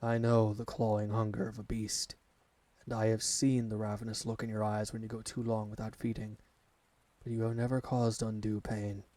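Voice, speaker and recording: A man's voice: fairly low pitch, completely flat delivery, mellow, no room sound, lightly acted. A man playing a devoted alpha werewolf servant in an ASMR roleplay, speaking formally and earnestly to his master. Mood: devoted, reverent. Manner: formal, measured, reverent address to a master.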